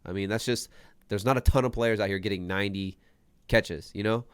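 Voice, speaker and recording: Congested young man, low smooth voice. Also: brisk, dry recording. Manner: emphatic, repeats his points. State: congested from a sinus infection. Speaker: fantasy football podcast co-host. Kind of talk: casual podcast chat.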